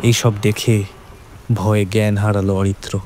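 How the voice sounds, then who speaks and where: A man's voice: fairly low pitch, level tone, moderate pace, noisy background. A man narrating a scripted horror story in a dramatic storytelling style. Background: background music.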